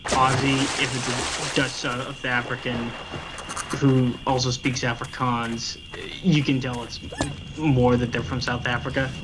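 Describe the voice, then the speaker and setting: A man chats with friends while they play, explaining at length with plenty of ums. His voice is mid-pitched and buried in heavy noise. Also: flat delivery, a little slow. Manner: chatty, explaining with many fillers. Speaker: friend chatting during a game. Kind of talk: casual chat.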